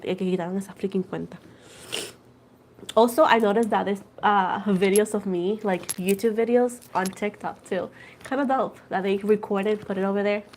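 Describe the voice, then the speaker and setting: A woman's voice: medium pitch, a little mellow, slight background noise. A woman with a Puerto Rican accent hosting a casual tarot livestream, chatting with viewers and switching between Spanish and English. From Puerto Rico. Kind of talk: casual livestream chat reading viewer comments.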